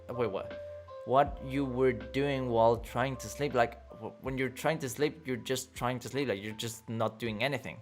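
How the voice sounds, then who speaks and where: A young man chats loosely on a livestream, answering viewers in a mid-pitched voice. He rambles, restarts and laughs often, dropping swear words casually. Faint music plays underneath. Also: fairly bright tone. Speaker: livestream host reading chat. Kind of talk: casual chat.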